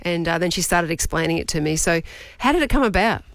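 A woman in her forties co-hosting a radio show, asking the guest one question after another. She talks fast in a slightly low voice. The sound is dry and close. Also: lively intonation, no room sound. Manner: curious, asks many questions. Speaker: radio host. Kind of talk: casual radio interview. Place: radio studio.

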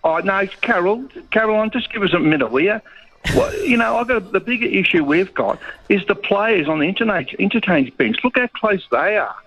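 A man's voice: high voice, fairly quick, slightly rough. Australian man, talkback caller, opinionated, dull phone sound. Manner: animated, opinionated.

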